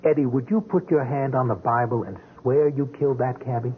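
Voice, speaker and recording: An actor playing a patient, dogged police sergeant in a radio drama, questioning politely and persistently. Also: male voice, medium pitch, steady tone, a little fast, close to an everyday voice. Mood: gentle, probing. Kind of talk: 1970s radio drama acting. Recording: tinny, noisy old radio recording.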